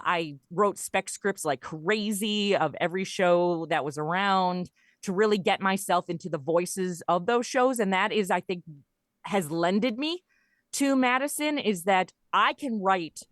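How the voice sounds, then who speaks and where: A woman's voice: lowish voice, measured pace, dry recording, clean studio sound. A middle-aged woman, chatting casually and jokily as an interview guest. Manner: chatty, jokey, informal. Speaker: podcast guest, comedy writer and audio performer.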